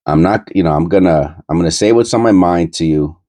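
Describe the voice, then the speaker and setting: Middle-aged New York man, stuffy and nasal, very low voice, dry recording, meandering and blunt. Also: a little fast, warm tone, dry recording. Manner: blunt, meandering, many fillers. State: nasal from a cold. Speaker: coin-collecting channel host. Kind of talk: rambling casual monologue.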